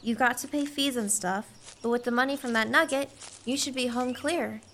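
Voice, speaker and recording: A woman's voice: on the high side, normal speed, a bit bright, a little hiss or hum. A woman playing an eager, helpful young rancher's friend in a family radio play, speaking politely and earnestly. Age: young adult.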